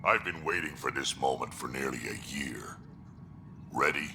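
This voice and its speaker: Very deep, gravelly alien brute. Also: male voice, normal speed, pushed and bright, a little hiss or hum, heavily acted. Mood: menacing relish. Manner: blunt, menacing, gloating. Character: gruff, aggressive male alien krogan clan warrior. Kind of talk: video game voice acting.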